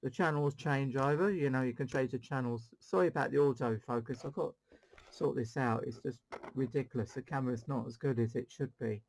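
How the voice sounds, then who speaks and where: A man's voice: medium pitch, brisk, a little mellow, a little hiss or hum, low-quality recording. A man hosting a casual CB radio demonstration, rambling along and repeating his phrases. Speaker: CB radio channel host.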